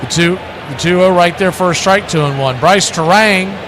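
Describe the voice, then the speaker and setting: A man's voice: sits high, normal speed, background noise. A man doing live baseball play-by-play, mixing clipped calls with conversational analysis. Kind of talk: live baseball radio commentary.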